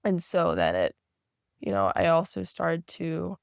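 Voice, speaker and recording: A podcast guest telling a personal story in a thoughtful, halting way, full of fillers and restarts. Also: mid-range voice, big pitch swings, vocal fry, clean tone, no room sound, studio quality.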